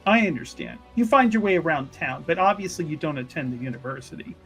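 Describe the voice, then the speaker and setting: A woman's voice: low-pitched, expressive, quick pace, faint music behind. A woman playing a probing, flustered and overly polite church official in a tabletop roleplay, stammering and repeating phrases. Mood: feigned curiosity, probing.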